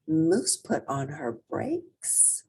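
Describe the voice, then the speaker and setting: A woman reading a children's picture book aloud as an expressive storyteller with theatrical emphasis. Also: sits low, extremely slow, slightly rough.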